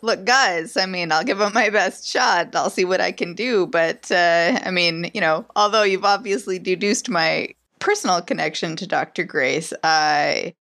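A woman's voice: fairly low pitch, moderate pace, hardly any pauses, fairly bright tone. Chatty woman playing a tabletop game. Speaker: player.

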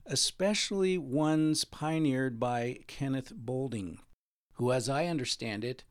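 A man with a creaky voice hosting, giving measured introductions and asking interview questions. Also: medium pitch, no echo, studio quality. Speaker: host, interviewer.

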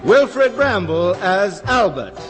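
High, animated British radio announcer, male. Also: slow. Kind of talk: 1960s radio announcing, scripted. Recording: old radio broadcast with music.

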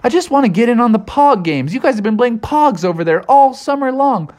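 Someone playing a big misunderstood dog, lively. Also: medium pitch, brisk, pitched above the performer's own voice, close to an everyday voice. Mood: eager. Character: big dog feared as a beast, friendly underneath.

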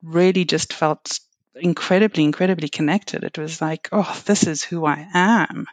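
A middle-aged woman from South Africa with a South African accent, a guest chatting reflectively with frequent fillers and soft laughs. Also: low-pitched, moderate pace, no echo. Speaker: guest, reflexology and Reiki practitioner. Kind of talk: casual interview chat.

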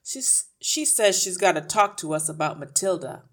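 A woman's voice: lowish voice, animated, normal speed. A woman voicing a small, irritable, dismissive man in a storybook, barking his words impatiently. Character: small ratty irritable dismissive man, a gruff suburban father. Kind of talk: acted audiobook dialogue.

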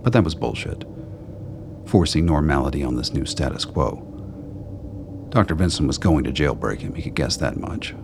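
A man's voice: very deep voice, expressive, moderate pace, pauses often. A man narrating a scripted radio drama. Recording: clear background noise.

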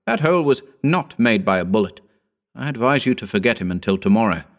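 A man voicing a vain, scornful, excitable male horror writer in a pompous, declamatory audiobook style. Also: lowish voice, fairly quick. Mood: dismissive. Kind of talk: dramatic audiobook character reading.

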